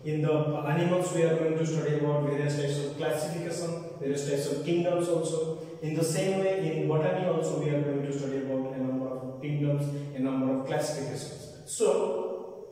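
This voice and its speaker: A man's voice: on the high side, level tone, a little fast, mellow. A young man giving a whiteboard biology lecture and explaining step by step, with repeated phrases and fillers, picked up by a far camera in an indoor classroom. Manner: repetitive explanatory teaching with fillers. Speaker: biology teacher. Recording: echoey room, distant mic.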